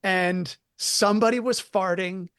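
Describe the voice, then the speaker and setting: A man's voice: high voice, fairly even intonation, a little slow, fairly bright tone, clean tone, no room sound, clean studio sound. A man co-hosting a comedy podcast, telling a rambling story with many restarts and repeated words. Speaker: podcast co-host. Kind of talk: casual comedic chat.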